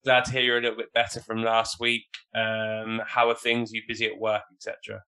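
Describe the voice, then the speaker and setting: A man's voice: fairly low pitch, completely flat delivery, a little fast, bright, forceful tone, clean tone. A man hosting a sports podcast, reading the intro and asking casual, hesitant questions. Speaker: podcast host.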